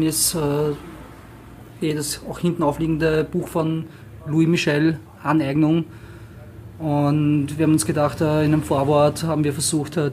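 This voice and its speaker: A man with a slightly high voice introduces a book in a halting, filler-laden way, then reads from it in turns. A faint hiss sits under his voice. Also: mellow. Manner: hesitant, many fillers when speaking freely. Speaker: book presenter. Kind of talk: casual introduction and alternating reading aloud.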